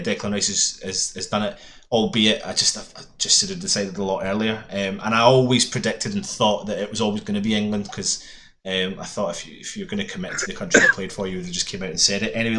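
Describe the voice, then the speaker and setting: A man's voice: on the low side, fairly even intonation, fairly quick, sharp tone, slight background noise. A Scottish man hosting a casual football podcast, chatty and summarising a statement aloud. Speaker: podcast host.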